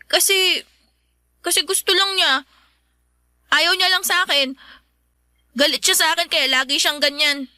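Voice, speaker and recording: A woman's voice: extremely high pitch, fairly even intonation, unhurried, pauses often, bright, forceful tone, dry recording, studio quality, heavily acted. An actress playing a hurt, defiant student daughter in a Filipino radio drama, polite but pleading as she talks back. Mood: defensive, upset.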